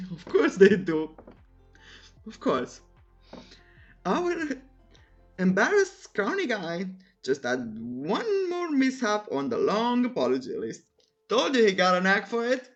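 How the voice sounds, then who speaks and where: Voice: medium pitch, huge pitch swings, takes it slowly, long pauses, muffled recording, faint music behind. A narrator reading a scripted comic recap in a playful, teasing, theatrical way, with humorous asides.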